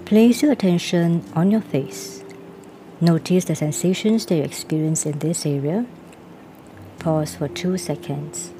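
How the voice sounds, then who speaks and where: A woman reading a guided body-scan relaxation exercise aloud. She gives calm, even instructions and reads out each pause cue. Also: low-pitched. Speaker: reader of a self-help workbook. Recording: voice laid over music. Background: clear background music.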